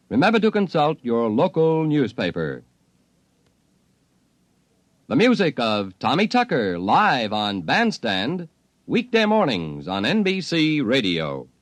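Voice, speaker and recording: An American man delivers a formal 1950s radio announcement. His medium-pitched voice swings very widely with emphasis, and the sound is dry and close. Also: no room sound. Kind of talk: 1950s scripted broadcast announcement.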